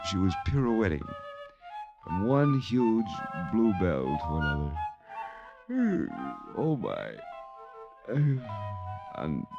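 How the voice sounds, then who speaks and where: An actor playing a wry, world-weary private detective in a 1940s radio noir drama, with clipped, slangy delivery. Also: male voice, low voice, exaggerated voice. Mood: weary, dreamy. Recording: old-time radio drama recording.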